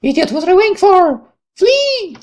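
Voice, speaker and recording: A woman voicing a fierce, desperate girl in a visual novel, frantic and scolding in a shrill voice. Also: big pitch swings, normal speed, darkish tone, muffled recording. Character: fierce, desperate supernatural girl rival, quick to fury.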